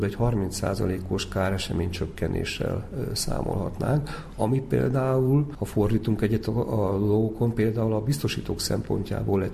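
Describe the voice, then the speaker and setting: A man's voice: on the low side, vocal fry, warm tone. A man giving an explanatory interview as an expert. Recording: faint background noise.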